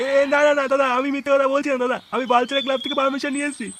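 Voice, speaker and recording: A man's voice: very high voice, level tone, rapid-fire, background noise. A man voicing a poor, luckless street tea seller in an adult comedy cartoon, whiny and repetitive. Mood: pleading.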